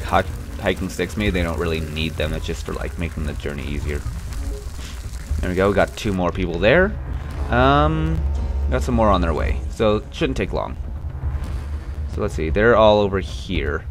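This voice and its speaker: A young man hosting a casual let's play, rambling and wryly complaining about the game, a bit stuffy with a slight cough. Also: on the low side. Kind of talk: casual gameplay commentary.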